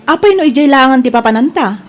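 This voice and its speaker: A woman's voice: high voice, expressive, quick pace, faint music behind. A woman acting an inquisitive, teasing friend in an Ilocano audio drama, with a Filipino accent. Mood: curious, probing.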